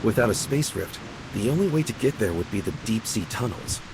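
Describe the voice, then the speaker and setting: A man voicing a calm, knowledgeable young companion in a video game, explaining things in a measured way. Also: fairly low pitch, background noise. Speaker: game character. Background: game music.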